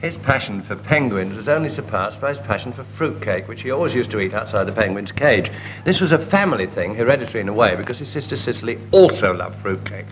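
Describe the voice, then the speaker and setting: A man's voice: fairly low pitch, animated, quick pace, faint music behind, rough recording. A man improvising a comic story as a panellist on a radio comedy show.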